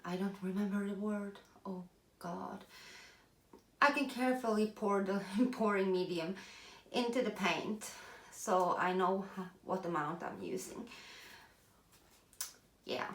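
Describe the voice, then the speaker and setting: A woman with a Norwegian accent explaining a craft project in casual chat, chatty and self-deprecating, pausing to search for English words. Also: mid-range voice, echoey room. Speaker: craft video host. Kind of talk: casual tutorial talk while working.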